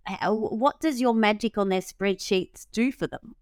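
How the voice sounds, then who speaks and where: A woman hosting an interview in a casual, enthusiastic way, often filling pauses with ums and likes. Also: medium pitch, no echo, studio quality. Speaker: interview host.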